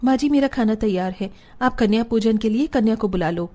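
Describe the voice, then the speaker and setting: A woman voicing a patient, reasonable woman of a family in a cartoon story, measured and gently reproving. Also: on the high side, soft background music, close to an everyday voice. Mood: weary, patient. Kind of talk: Hindi cartoon story dialogue.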